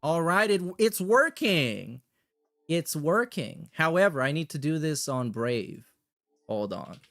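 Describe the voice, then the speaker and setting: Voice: medium pitch, very animated, takes it slowly, a bit bright, faint music behind. A livestream host chatting casually and reacting in a blunt, heavily profane way.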